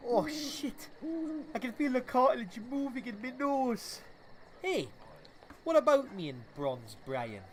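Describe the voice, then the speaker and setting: A man's voice: extremely high pitch, big pitch swings, a little slow, slight background noise, exaggerated voice. An actor playing a hapless, grumbling townsman who is in pain, in a comedic fantasy audio drama. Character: whiny, hapless male townsman, pained and grumbling.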